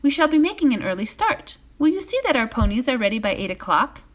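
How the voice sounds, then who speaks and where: Lady doing a polite nervous hobbit guy, storybook, higher voice, kinda defensive. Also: very animated, quick pace. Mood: apologetic, polite. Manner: courteous, defensive. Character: polite, uneasy male hobbit traveler.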